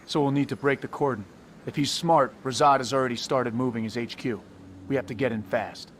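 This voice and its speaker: A man's voice: mid-range voice, steady tone, fairly quick, fairly bright tone, lightly acted. Man acting a terse, commanding squad leader, faint noise. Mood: urgent, decisive. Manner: clipped, commanding, matter-of-fact. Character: decisive male special forces squad commander, terse, confident. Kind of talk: video game cutscene acting, mission briefing.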